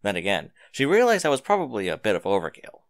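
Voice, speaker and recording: A man's voice: lowish voice, huge pitch swings, fast, dry recording. A man reading scripted fiction aloud as a dramatic narrator, close to the microphone.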